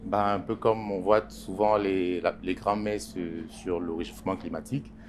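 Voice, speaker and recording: A man, a journalist, answering interview questions in a halting way with many pauses and repeated words. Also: deep voice, flat delivery, slight background noise. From Congo Basin. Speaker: journalist and editorial writer.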